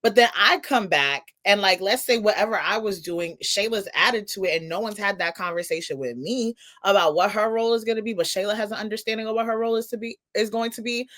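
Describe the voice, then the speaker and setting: A woman co-hosting a podcast, explaining her opinion in a casual, emphatic way and restating points to make them clear. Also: medium pitch, fairly bright tone. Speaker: podcast co-host.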